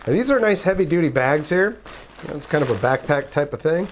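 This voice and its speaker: A man with a raspy, hoarse voice, chatting casually in a rambling way as he reviews riding gear for his vlog. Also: on the high side, expressive, quick pace, slight background noise. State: raspy, hoarse voice after illness.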